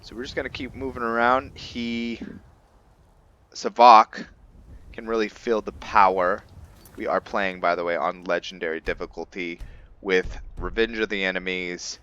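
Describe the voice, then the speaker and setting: A man giving casual let's play commentary on a fantasy role-playing game, halting as he thinks aloud. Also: lowish voice, fairly even intonation, bright, forceful tone, smooth, highs cut off. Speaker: gaming channel host narrating a playthrough.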